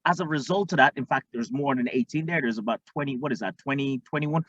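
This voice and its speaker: A man's voice: medium pitch, quick pace, no room sound. Man from Tanzania coaching on marketing. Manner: energetic, repeats "okay?" often. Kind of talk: instructional screen-share talk. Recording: dull lo-fi dry sound.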